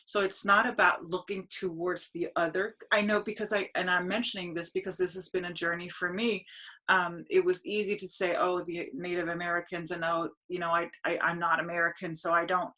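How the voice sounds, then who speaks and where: A woman, hosting a spiritual podcast over a video call, speaking earnestly with halting restarts. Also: on the low side, flat delivery, bright, forceful tone. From Denmark. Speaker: podcast host. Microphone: video call webcam.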